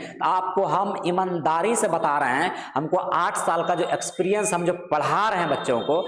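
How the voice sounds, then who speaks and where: A man with a Bihari accent teaching an exam-prep lesson to students, repeating himself and often adding check phrases. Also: fairly high pitch. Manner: repetitive, with frequent check phrases. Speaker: history teacher. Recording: echoey hall-like reverb.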